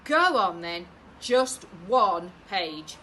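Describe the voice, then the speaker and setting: Picture-book mother, acted by a woman: bright voice, firm but worn down, exasperated, wanting peace. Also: mid-range voice, sing-song, drawn-out words, slight background noise, heavily acted. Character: exasperated, weary mother craving five minutes of peace.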